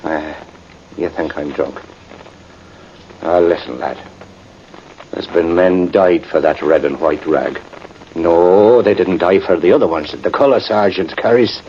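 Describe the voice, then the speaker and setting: An actor playing a proud, sentimental, tipsy Irish cavalry sergeant in a radio drama, rambling and halting with emphatic turns. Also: male voice, low voice, darkish tone. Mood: fervent, sentimental. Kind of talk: 1950s radio drama acting. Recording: muffled, noisy vintage radio recording.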